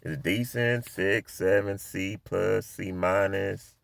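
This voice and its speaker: A man playing a loud, mocking man who confronts and interrogates another person, swearing as he goes. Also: deep voice, steady tone, takes it slowly, gravelly, no echo, full character voice. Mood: probing. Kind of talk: dramatic scene reading.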